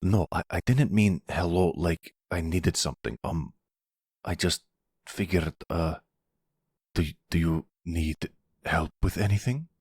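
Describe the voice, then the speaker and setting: A man playing a repentant young crime heir in a roleplay audio drama, hesitant, halting and soft-spoken. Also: low-pitched, slightly rough, no room sound, clean studio sound. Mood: nervous, pleading.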